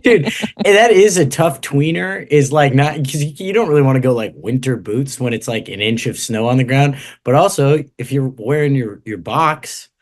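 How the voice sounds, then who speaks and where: Male podcast co-host, self-mocking joker, average pitch, laughs easily. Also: expressive, moderate pace. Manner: joking, self-deprecating, laughs often. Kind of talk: casual comedic banter.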